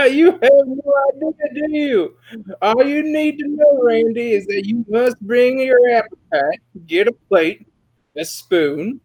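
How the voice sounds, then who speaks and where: A boisterous, bossy tease from a comic western, very high in pitch, as a man acts it out at a script reading. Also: takes it slowly, creaky, darkish tone, exaggerated voice, rough recording. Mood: teasing, loud. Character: boisterous, teasing man ordering someone into a food line.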